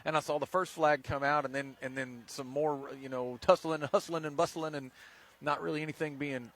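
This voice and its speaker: A man's voice: a little high, steady tone, a little fast, fairly bright tone. A man giving casual, joking play-by-play of a football game in a folksy, bantering way. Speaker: play-by-play sports announcer. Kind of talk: casual, joking radio play-by-play commentary of high school football. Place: outdoor football field sideline.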